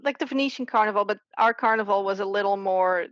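A woman's voice: medium pitch, fairly bright tone, no room sound, lo-fi sound. A woman from the Netherlands, a guest artist chatting casually in an interview, full of restarts and fillers. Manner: chatty, many restarts and fillers, quick laughs.

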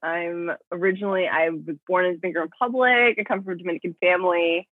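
A woman's voice: lowish voice, steady tone, fast, no echo. A young woman from Miami answering interview questions conversationally as a podcast guest, recorded over a remote call. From United States, grew up in Miami. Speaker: podcast guest, professional dancer. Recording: tinny, phone-like remote call.

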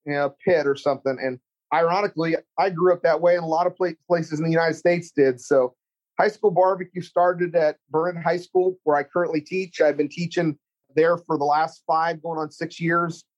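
A middle-aged man with a Texan accent talks earnestly and rambles, circling back through restarts and fillers. His voice sits a little high for a man. The audio is muffled and lo-fi. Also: fairly even intonation, moderate pace. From Central Texas. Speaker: culinary teacher and chef. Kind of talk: casual interview chat.

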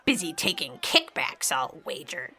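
A woman playing a sly old beggar widow in a fantasy audio drama, raspy and creaky, wheedling and sarcastic in a theatrical, folksy way. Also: sits low, huge pitch swings, unhurried, sharp tone, exaggerated voice. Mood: scornful, needling. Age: older. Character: sly old beggar widow, mock-pitiful, salty-tongued.

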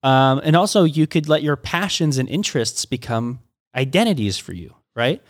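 A man's voice: medium pitch, lively intonation, dry recording, studio quality. A middle-aged man, a pastor, talking through ideas in a casual teaching conversation, with frequent restarts and stammers. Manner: halting, many restarts and stammers.

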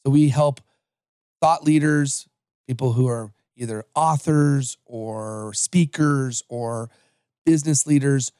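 A man's voice: mid-range voice, takes it slowly, warm tone, smooth, no echo, clean studio sound. A man in his forties from Los Angeles, a podcast guest telling his career story in an upbeat, conversational way with frequent restarts. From Los Angeles, USA. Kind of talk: conversational interview.

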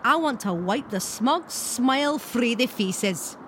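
A woman's voice: a little high, expressive, slow, fairly bright tone, slight background noise. A woman playing a huge, grumpy female loch monster with a Scottish accent and salty, indignant slang in a comedy audio drama. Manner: brash, salty, indignant slang-heavy talk. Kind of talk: audio fiction comedy acting.

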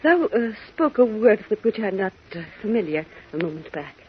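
A woman's voice: medium pitch, big pitch swings, moderate pace, slightly rough. A woman acting in a theatrical 1940s radio drama as an adventure-serial heroine. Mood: solemn. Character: two women: brisk reporter and solemn archaic princess. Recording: muffled vintage radio recording.

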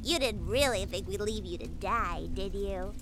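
A woman's voice: mid-range voice, moderate pace, natural voice. A woman playing a confident, affectionate young time-traveling daughter in an audio drama. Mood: wry, confident. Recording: loud noise behind.

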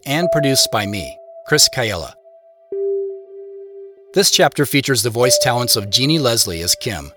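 A man reading scripted narration as the narrator of an audio drama. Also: mid-range voice. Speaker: writer, narrator and lead actor of an audio drama. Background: music.

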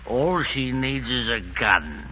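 A man's voice: medium pitch, very animated, slow, pushed and bright, heavily acted. A man playing a coarse, sniggering common soldier with a drawling, jeering delivery in 1940s radio drama style. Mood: gloating amusement. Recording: muffled, noisy 1940s radio recording.

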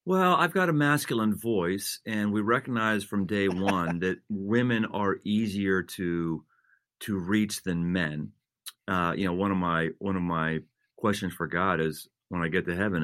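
Low bright-voiced pastor, emphatic. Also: male voice, no echo, studio quality. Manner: builds to emphatic, repetitive preaching cadence. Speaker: senior pastor, guest author. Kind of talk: conversational interview, earnest and preachy.

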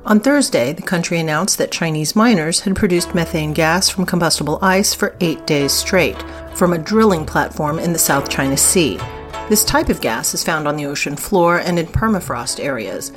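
A woman's voice: low voice, animated, normal speed, faint music behind. A woman co-hosting a podcast, reading scripted news in a presenter's style. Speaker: podcast co-host.

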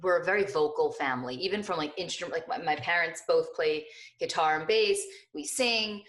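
A middle-aged woman with a hoarse, notably low voice, talking freely as a guest on an interview podcast. She rambles expressively, with frequent fillers and restarts. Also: a little fast. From New Jersey, USA. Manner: chatty, expressive, many fillers. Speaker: guest, lifestyle blogger. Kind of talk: casual interview conversation.

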